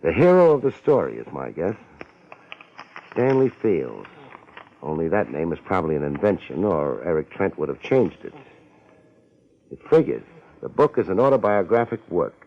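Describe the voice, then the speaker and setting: A cocky, wisecracking hard-boiled detective in a vintage radio play. His voice sits low and moves in lively swings, with sardonic slang. The old recording is dull and muffled. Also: normal speed, long pauses, exaggerated voice. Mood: assured, decisive. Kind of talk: 1950s radio detective drama acting.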